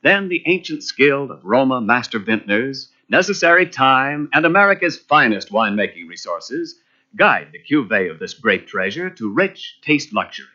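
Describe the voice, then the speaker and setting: A man's voice: medium pitch, very animated, moderate pace, bright, forceful tone. A man as a polished, emphatic announcer reading scripted sponsor copy on 1940s radio. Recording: muffled old radio tape.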